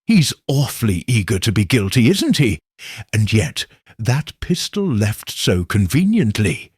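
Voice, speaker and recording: A man voicing a shrewd elderly village spinster, speaking in a gentle, probing, knowing way. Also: fairly low pitch, sing-song, a little slow, slightly rough, no room sound, clean studio sound, exaggerated voice. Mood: shrewd musing.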